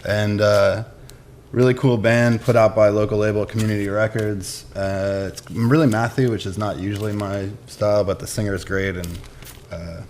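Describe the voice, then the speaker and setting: A man with a fairly low voice speaks casually, as if showing things to friends. His phrases are short, informal and unscripted. There is faint noise behind him. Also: steady tone, normal speed, a little mellow. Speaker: musician presenting record picks. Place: indoors in a record shop.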